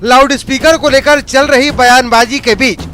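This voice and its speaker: A man's voice: very high-pitched, fairly even intonation, moderate pace, sharp tone, soft background music. A man narrating a scripted news report emphatically, as a TV reporter.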